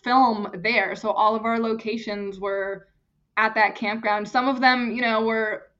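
A woman's voice: a little high, fairly even intonation, unhurried, fairly bright tone, highs cut off. A young woman from Germany, an actress and stunt performer, chatting casually as an interview guest, often restarting her phrases.

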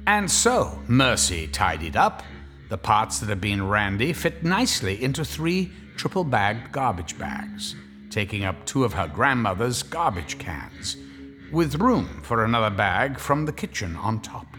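A man narrating a scripted horror story in a wry, measured, dramatic way. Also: lowish voice, sing-song, fairly bright tone, faint music behind.

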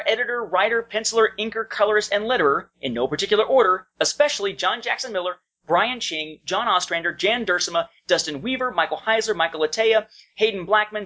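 A man's voice: sits high, fast, pushed and bright. A man co-hosting a podcast, talking casually and reading out listener feedback. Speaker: podcast co-host. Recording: dull lo-fi podcast audio.

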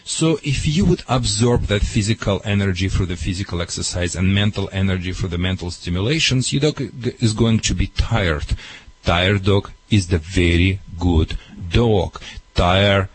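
A man's voice: deep voice, normal speed, slightly rough. A man giving dog training advice to a radio caller, in broken, emphatic English.

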